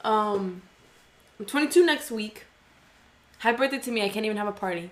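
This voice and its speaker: A young woman in her early twenties co-hosts a podcast, telling a story in a loose, rambling way. She is giggly and leans on filler words, and her pitch sits right in the middle for a woman. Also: expressive, brisk. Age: 20s. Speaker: podcast co-host. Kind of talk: casual chat.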